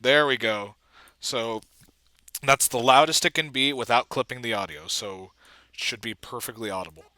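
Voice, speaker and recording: A man giving a casual walkthrough as an amateur video editor, thinking aloud haltingly and trailing off. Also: mid-range voice, sharp tone, dry recording, poor audio. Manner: halting, trailing off mid-thought.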